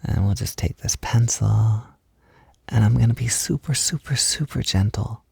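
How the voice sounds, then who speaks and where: Male, soft intimate roleplay, encouraging and gentle, gravelly fry, very dry close recording. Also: lowish voice, normal speed, no echo. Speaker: roleplay audio performer. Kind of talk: soft-spoken intimate roleplay, like a personal makeup tutorial.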